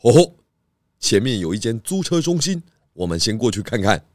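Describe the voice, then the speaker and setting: A man voicing a calm, stout pig detective, composed and good-humored, in a children's audio drama. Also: on the low side, moderate pace.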